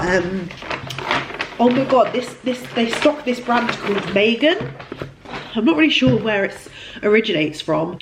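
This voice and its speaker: A woman's voice: on the low side, slight background noise, low-quality recording. A woman, chatting casually in a vlog while ill, her voice congested and nasal. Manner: chatty, gushing, lots of fillers. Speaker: vlogger.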